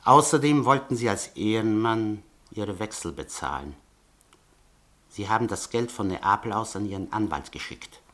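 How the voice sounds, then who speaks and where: A man's voice: on the low side, normal speed, fairly bright tone, close to an everyday voice. An actor playing a sly, mocking male informant who blackmails with oily politeness in a radio play. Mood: threatening. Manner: oily, mocking politeness, tells threats as a fairy tale.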